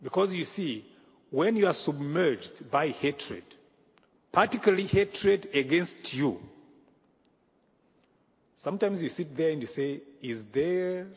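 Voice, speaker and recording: Preacher from Rwanda in an echoey church. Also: male voice, a little high, animated, husky. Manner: measured, earnest delivery with pauses. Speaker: guest pastor. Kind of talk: sermon. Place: church sanctuary. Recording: echoey hall, dull lo-fi sound.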